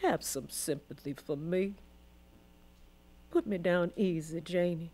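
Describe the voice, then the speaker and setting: A woman's voice: lowish voice, takes it slowly, dark tone. A woman acting a weary, devout old formerly enslaved grandmother in a radio drama, with a Southern US accent and solemn, emotive storytelling. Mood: tender, pleading.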